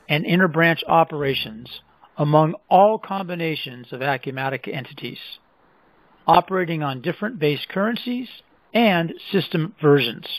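Higher-pitched man narrating a software demo. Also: no room sound, poor audio. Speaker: presenter of a software demonstration. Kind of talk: scripted product demo narration.

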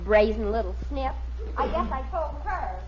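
An actress playing a melodramatic, indignant teenage American daughter in an old radio sitcom. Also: female voice, high-pitched, big pitch swings, normal speed, crowd noise. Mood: scornful. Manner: accusing, melodramatic. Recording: muffled, noisy vintage radio recording.

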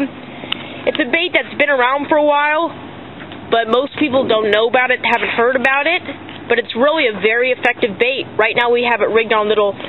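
A teenage boy with an American accent giving casual fishing commentary outdoors on harbor docks into a handheld camera. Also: very high-pitched. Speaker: fishing vlogger. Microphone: handheld camera microphone. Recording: tinny phone-like audio, loud outdoor noise.

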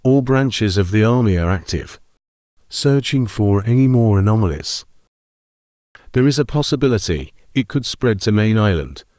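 A man's voice: fairly low pitch, animated, moderate pace, darkish tone, no echo, clean studio sound. A man reading scripted horror narration in a stiff, formal manner.

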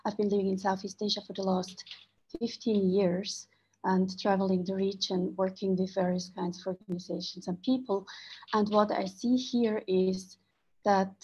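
A woman from Switzerland speaking on a video call as a panelist, earnest and measured, with frequent fillers. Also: medium pitch, little pitch movement, mellow, slightly rough, muffled recording. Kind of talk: panel discussion remarks.

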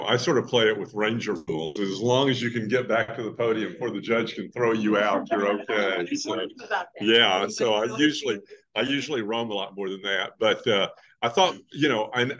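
A man in his sixties, a trial lawyer, giving a relaxed talk to a meeting in a loud voice. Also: lowish voice, fairly quick, muffled recording. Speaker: guest speaker, trial lawyer. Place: indoor meeting room. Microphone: webcam from a distance.

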